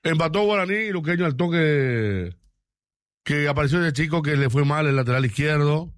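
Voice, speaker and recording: A man's voice: fairly high pitch, lively intonation, normal speed, dry recording, studio quality. A man with a Paraguayan accent hosting casual sports banter, teasing and informal, often throwing out questions. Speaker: sports show host.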